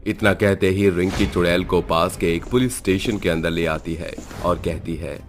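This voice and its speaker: A man's voice: low-pitched, normal speed. A man giving dramatic scripted narration of a horror story. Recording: music behind.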